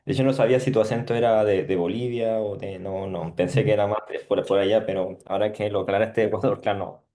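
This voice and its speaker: A man with a slightly low voice. He speaks as a professor at a research seminar, posing questions tentatively and rephrasing them along the way. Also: fairly even intonation, fast, hardly any pauses, warm tone. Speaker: university professor asking questions.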